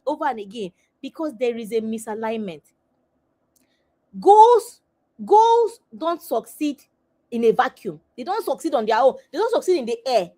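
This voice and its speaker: A middle-aged woman hosting a live webinar, teaching with emphatic repetition and rhetorical questions. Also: high-pitched. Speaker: coach and webinar host.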